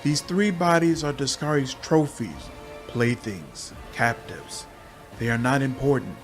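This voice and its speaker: Man voicing a slow, halting demon insect swarm. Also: mid-range voice, lively intonation, soft background music, natural voice. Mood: coaxing, solemn. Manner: halting, intoning lists of synonyms one by one. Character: many-bodied demon insect swarm, female, captive, hungry, suffering. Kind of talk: game character dialogue.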